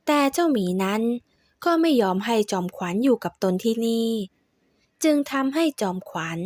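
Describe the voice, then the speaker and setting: A woman narrating a folk tale in a steady, measured storytelling voice. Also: on the high side, dry recording, clean studio sound.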